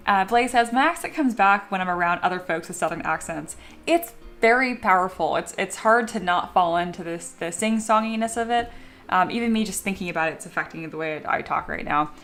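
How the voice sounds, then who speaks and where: A woman's voice: medium pitch, brisk, a bit bright, soft background music. A young adult woman chatting casually on a live stream while she sculpts at home, reading viewer messages aloud and laughing often. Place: home studio.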